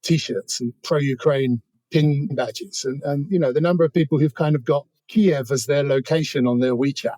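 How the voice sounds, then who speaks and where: A man's voice: a little high, normal speed, warm tone, no echo. A man with a British accent giving conversational political commentary as an interview guest, talking discursively with many asides. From Britain.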